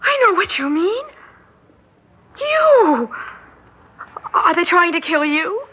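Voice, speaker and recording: A woman's voice: extremely high pitch, big pitch swings, takes it slowly, slight background noise, full character voice. A woman playing a frightened, naive young niece in 1960s American radio drama, stammering and gasping. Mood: frantic, tearful. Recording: dull 1960s radio recording.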